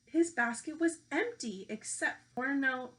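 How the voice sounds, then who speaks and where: A woman teacher reading a children's picture book aloud, speaking deliberately to young listeners. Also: high voice, animated, fairly bright tone. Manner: slow, deliberate delivery addressed to young children. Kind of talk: children's storybook read-aloud.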